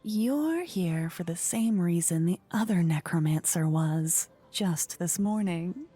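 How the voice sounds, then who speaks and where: Woman playing a regal queen, ASMR roleplay, coy and courtly, mellow soft tone, breathy whispers, faint music behind. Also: lowish voice, lively intonation, slow, full character voice. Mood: breezy, knowing. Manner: languid, coy, flirtatious, courtly. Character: playful regal fantasy queen of a tiny kingdom. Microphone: close ear-to-ear microphone.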